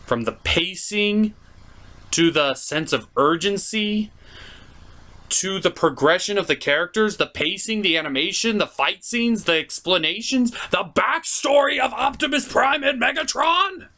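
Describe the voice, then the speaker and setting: A man's voice: high voice, lively intonation, unhurried, pushed and bright. A man hosting a video review, giving enthusiastic, theatrical commentary with emphatic, stretched-out words.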